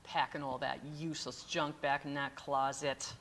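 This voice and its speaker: A woman's voice: very deep voice, little pitch movement, moderate pace, fairly bright tone, heavily acted. A woman playing a sensible, exasperated, long-suffering wife in an old-time radio comedy, scolding with dry patience. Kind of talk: 1940s radio comedy acting. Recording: roomy hall reverb, noisy background.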